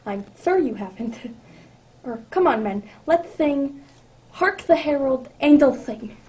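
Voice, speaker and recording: A woman's voice: high-pitched, expressive, slow pace, a little mellow. A woman playing a sly male gang leader feigning politeness as a carol singer in an amateur radio drama. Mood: falsely polite.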